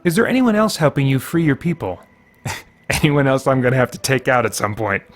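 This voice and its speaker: A man's voice: mid-range voice, sing-song, a little fast. A man giving casual gameplay commentary as a show host, conversational and musing as he thinks aloud. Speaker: gamer, host of a faith and geek culture show.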